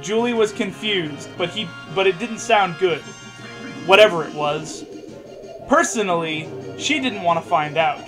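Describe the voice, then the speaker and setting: High-voiced, unhurried sarcastic male reader. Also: expressive, over music. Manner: sarcastic, theatrical, stumbles over text. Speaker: podcast co-host reading fan fiction aloud. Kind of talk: mocking read-aloud with joking asides.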